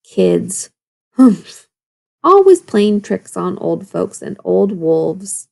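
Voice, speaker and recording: A woman's voice: lowish voice, big pitch swings, drawn-out words, creaky, a little mellow, full character voice. A woman voicing a hungry, grumpy old male wolf, stiff and weary, in a storybook read-aloud. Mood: grumbling. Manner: growling, grumbling.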